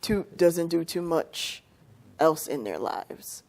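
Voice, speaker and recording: Woman, panelist, low voice, mellow, measured, explanatory. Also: expressive, gravelly. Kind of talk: talk show discussion.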